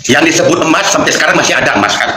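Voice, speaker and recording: Brief: man with a lively, fairly high voice, very roomy sound and a loud crowd behind, debate guest. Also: racing. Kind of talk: heated interfaith debate. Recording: very echoey with crowd noise behind.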